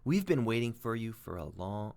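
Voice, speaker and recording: A man's voice: fairly low pitch, sing-song, normal speed, creaky, a little mellow. A man voicing a giant old green grasshopper, blunt but welcoming, in a storybook reading. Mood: relieved welcome.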